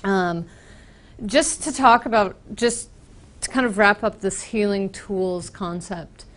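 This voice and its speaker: A woman from Boston with an American accent, giving a casual, explanatory software presentation with frequent ums. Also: mid-range voice, slow. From Boston, United States. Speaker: software trainer. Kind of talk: conference presentation.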